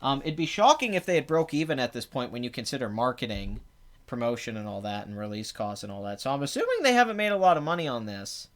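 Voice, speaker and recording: A man with a raspy, phlegmy voice and a clogged throat, hosting a casual, rambling box office talk with blunt, sarcastic asides. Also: mid-range voice, very animated, quick pace. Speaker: box office show host. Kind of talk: casual live commentary.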